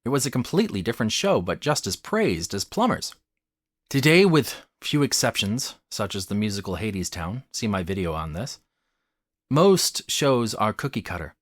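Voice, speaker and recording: A man with a low voice narrates a scripted video essay. His intonation rises and falls widely as he stresses key phrases in an articulate, teacherly way. The sound is close and dry. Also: big pitch swings, moderate pace, no echo. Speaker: host of a theatre commentary channel.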